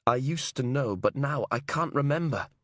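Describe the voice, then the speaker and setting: A man's voice: medium pitch, lively intonation, moderate pace, fairly bright tone, no room sound, pitched above the performer's own voice. Man voicing whiny inmate, fake posh accent, self-pitying, dry close sound. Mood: shaken.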